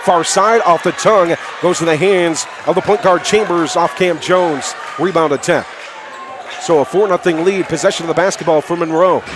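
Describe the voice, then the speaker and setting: A man calling live basketball play-by-play for radio in clipped phrases, excitable and raising his voice on big plays. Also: on the high side, moderate pace. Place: indoor high school gymnasium. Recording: live from noisy gym, crowd behind. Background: crowd and bouncing basketball.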